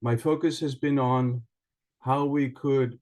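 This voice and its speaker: A man from Iceland giving a prepared self-introduction in an online meeting, measured and articulate with formal wording. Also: mid-range voice, steady tone, darkish tone. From Iceland, raised partly in other countries. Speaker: participant, journalist and writer. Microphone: online video call.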